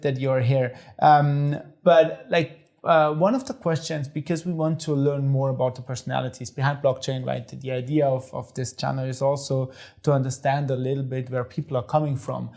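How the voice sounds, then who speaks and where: A man's voice: mid-range voice, flat delivery, moderate pace, darkish tone. A man hosting an interview podcast, asking questions in a friendly, hesitant way with many fillers and restarts. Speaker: podcast host. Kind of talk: casual interview.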